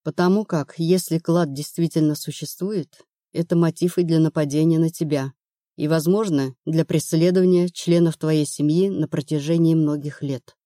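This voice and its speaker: A woman narrator playing a brisk, rational female investigator in an audiobook, blunt and assured. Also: deep voice, steady tone, mellow, no echo, studio quality, natural voice. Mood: insistent. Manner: matter-of-fact, assured.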